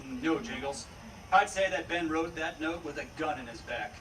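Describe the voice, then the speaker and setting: A man playing a steady, resolute Old West federal marshal in a Western radio drama. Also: fairly high pitch, moderate pace, natural voice. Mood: reassuring. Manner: confident, commanding. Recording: distant stage microphone, noisy room.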